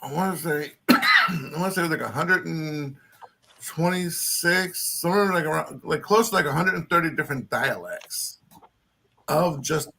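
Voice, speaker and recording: A man's voice: a little high, moderate pace, gravelly, bright, forceful tone, a little hiss or hum. A man from the American South, born in Maryland, telling rambling stories in a casual group chat and often correcting himself mid-sentence. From born in Maryland, grew up in Louisiana. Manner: rambling, self-correcting storyteller. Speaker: chat participant.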